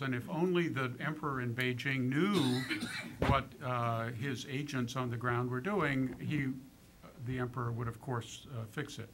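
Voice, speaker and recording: A man speaking as a panelist, measured and hesitant, with many ums and uhs. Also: medium pitch, a bit bright, rough recording. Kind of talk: panel discussion.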